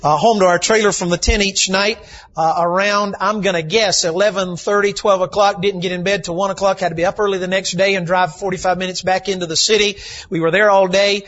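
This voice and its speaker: A man, a visiting preacher, slightly hoarse, telling folksy stories and building to shouted emphasis in a sermon. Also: high voice, steady tone, a little fast. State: slightly hoarse from lack of sleep. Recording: dull lo-fi church recording.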